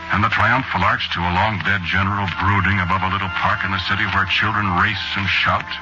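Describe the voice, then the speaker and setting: An actor as a deep, solemn radio narrator. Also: male voice, natural voice. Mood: solemn, elegiac. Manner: solemn, measured, oratorical. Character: solemn male reporter from a perished world, narrating. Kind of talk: 1940s radio drama narration. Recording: muffled 1940s radio broadcast recording.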